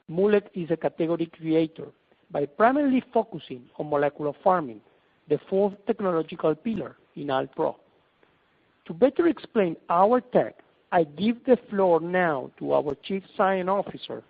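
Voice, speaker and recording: A man with a high-pitched, creaky voice comes through a narrow phone line. He delivers a scripted investor presentation in the role of a company executive, with a husky edge to his sound. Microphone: telephone conference call.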